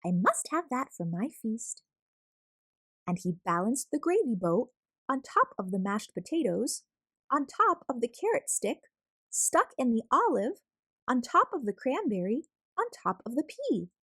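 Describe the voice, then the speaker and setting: A woman reading a children's picture book aloud as a storyteller, expressive and deliberate, drawing out words for emphasis. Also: a little high, slightly rough, no room sound. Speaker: storyteller, read-aloud host.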